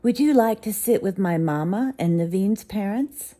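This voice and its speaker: A woman's voice: mid-range voice, lively intonation, normal speed, dark tone, voice pushed up, natural voice. A woman voicing a kind young princess chef in a bedtime storybook, in a reassuring tone. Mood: welcoming.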